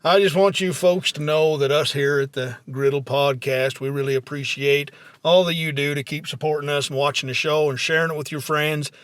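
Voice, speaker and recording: A man's voice: on the high side, fairly even intonation, brisk, a bit bright, clear, resonant tone, no echo. A man, hosting a comedy podcast in casual, folksy banter with unpolished country grammar.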